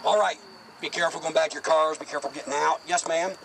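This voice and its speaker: A man's voice: a little high, steady tone, quick pace, creaky, background noise. A middle-aged man leading a walking tour of a cemetery, explaining graves to a group in a casual, direct way, recorded outdoors by a camera at a distance. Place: outdoor cemetery, in a small open space. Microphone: far camera, no mic.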